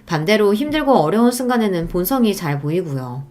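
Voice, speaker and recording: A woman's voice: medium pitch, very animated, fairly quick, a little mellow. A woman narrating a scripted first-person story in the style of a radio story reading.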